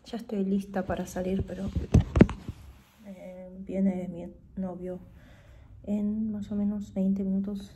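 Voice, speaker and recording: A woman's voice: medium pitch, slow pace, long pauses. Young woman vlogger, casual narration, phone mic, heavy background noise. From Korea. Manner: relaxed, chatty commentary to camera. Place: home kitchen, indoors.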